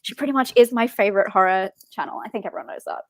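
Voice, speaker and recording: A woman with an Australian accent hosting a casual film livestream, rambling along in an excitable, giggly way. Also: medium pitch, very fast, a little hiss or hum. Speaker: host of a film talk show.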